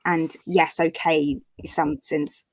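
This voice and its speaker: Low-pitched woman, hesitant and giggly. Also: little pitch movement, takes it slowly, a little hiss or hum, low-quality recording. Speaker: author hosting online book launch. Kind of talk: casual live chat about writing. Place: live online video call.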